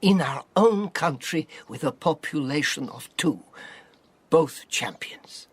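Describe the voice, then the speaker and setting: An actor playing a shrewd, worldly spy handler in a radio drama, with a husky, breathy voice and a measured, theatrical, commanding manner. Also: male voice, on the high side, animated, exaggerated voice. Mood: intimate, persuasive.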